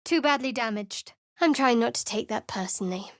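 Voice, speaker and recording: A woman voicing a guarded, sardonic young cleric of a dark goddess in a fantasy game, speaking in a dry and wry way. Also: on the high side, lively intonation, a little fast, no room sound, close to an everyday voice. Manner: dry, wry and cool, with sly sarcasm. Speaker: party companion in a fantasy video game. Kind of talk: recorded game voice lines. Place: studio booth. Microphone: close studio mic.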